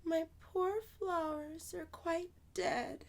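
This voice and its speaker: A woman's voice: very high-pitched, fairly even intonation, takes it slowly, creaky, pitched above the performer's own voice, exaggerated voice. A woman voicing a curious little girl with a plaintive, wondering manner in a children's storybook reading. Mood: worried, sad. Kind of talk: children's storybook read-aloud.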